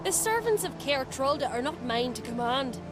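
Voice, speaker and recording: A woman's voice: high-pitched, big pitch swings, moderate pace, over music. An actress playing a haughty, scheming middle-aged noblewoman in a fantasy game, speaking with imperious scorn. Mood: scornful, indignant. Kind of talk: dramatic fantasy game acting. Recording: dramatic score behind dialogue.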